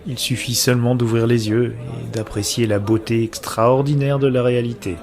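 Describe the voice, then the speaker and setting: An actor playing a brooding, anguished man who narrates his own science-fiction story in measured, literary, introspective phrasing. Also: medium pitch, steady tone, darkish tone, lightly acted. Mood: wistful, contemplative. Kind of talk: scripted first-person narration of sci-fi audio drama.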